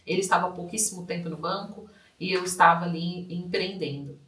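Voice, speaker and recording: A woman's voice: lowish voice, fairly even intonation, brisk, a bit bright. A woman from São state, co-hosting a podcast and telling her family's story in a chatty way, checking in with tag questions. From Brazil, São Paulo state. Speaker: podcast co-host. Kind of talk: casual conversational storytelling. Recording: echoey room, mic at a distance.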